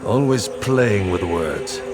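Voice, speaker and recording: A man's voice: fairly low pitch, takes it slowly, over music. An actor playing a commanding, worldly-wise crusader king in a video game cutscene, with a grand, declamatory delivery. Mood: dismissive.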